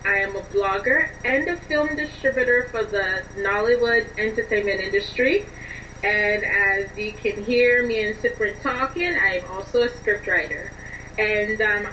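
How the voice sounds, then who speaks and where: A woman being interviewed, explaining things in an expressive, emphatic conversational way over a distant, dull and noisy connection. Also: medium pitch, moderate pace. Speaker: interview guest, scriptwriter.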